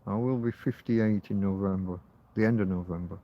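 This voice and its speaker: A man's voice: lowish voice, steady tone, fairly quick, muffled recording. A man in his fifties hosting a rambling, casual livestream outdoors, talking bluntly and swearing freely. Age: 50s. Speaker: livestream host. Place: outdoors in a field.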